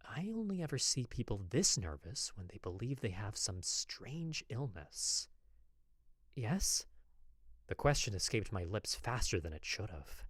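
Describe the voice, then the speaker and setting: A lovestruck apothecary from the old west as a voice actor plays it, confiding a secret infatuation. The delivery is dramatic and hesitant, with sighs and big swings of pitch. The recording is very dry and close. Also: big pitch swings, measured pace, husky, no room sound. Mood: anxious, musing. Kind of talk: dramatic first-person fiction narration, podfic.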